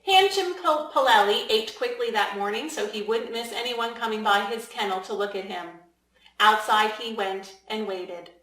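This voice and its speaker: A woman reading a children's storybook aloud as its author, in an expressive, deliberate way with emphasis for young listeners. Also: on the high side. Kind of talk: storybook read-aloud narration. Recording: echoey room.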